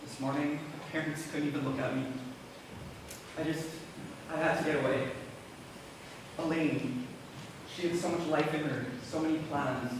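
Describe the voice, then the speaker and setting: A man's voice: a little high, steady tone, moderate pace, long pauses, close to an everyday voice. Grieving teenage brother played by a young man, echoey hall. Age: teens to young adult. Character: grieving, guilt-ridden teenage older brother. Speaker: student actor. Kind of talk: stage play acting. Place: school stage performance. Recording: echoey hall, distant mic, noisy.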